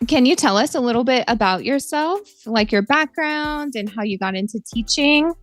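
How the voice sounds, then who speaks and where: A woman's voice: on the high side, expressive, moderate pace, no room sound. Upbeat woman podcast host. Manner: upbeat, playful. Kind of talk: casual podcast hosting.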